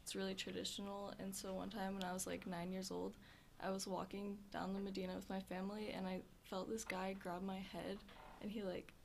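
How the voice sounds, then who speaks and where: A teenage girl answering interview questions softly, leaning heavily on "like" and other fillers. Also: medium pitch, flat delivery, a little fast, gravelly, slight background noise. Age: teens. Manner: soft-spoken, filler-heavy with frequent "like". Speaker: interview guest. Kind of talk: casual interview.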